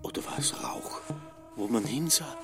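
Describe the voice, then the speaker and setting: A man's voice: mid-range voice, animated, normal speed, gravelly, in a whisper. A man giving dramatic radio play narration in fragments. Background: loud music.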